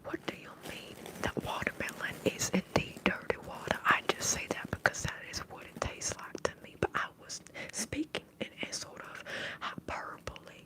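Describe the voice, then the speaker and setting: A woman's voice: moderate pace, poor audio. Whispering Minnesotan woman in ASMR chat. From Minnesota, US upper Midwest. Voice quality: breathy unvoiced whisper. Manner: rambling, conversational asides to viewers. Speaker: livestream host.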